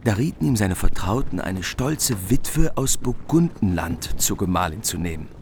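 A man's voice: low-pitched, moderate pace, creaky, a little hiss or hum. Solemn man narrating an old epic. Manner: calm, solemn storyteller.

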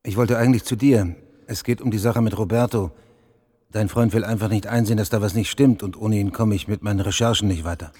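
A man's voice: lowish voice, steady tone, fairly quick, warm tone, slightly rough, dry recording, studio quality. An actor playing an older French journalist who mutters to himself as he snoops through an office. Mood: earnest. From France. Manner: muttering to himself while searching. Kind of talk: TV drama acting.

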